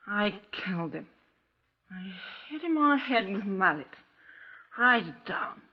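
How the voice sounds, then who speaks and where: A woman's voice: mid-range voice, sing-song, unhurried, breathy edge. An actress playing a blunt, insistent, tipsy middle-aged woman in a vintage radio crime drama. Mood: defiant, insistent. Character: defiant drunken middle-aged widow confessing murder. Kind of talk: 1950s radio drama acting. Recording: dull old radio recording.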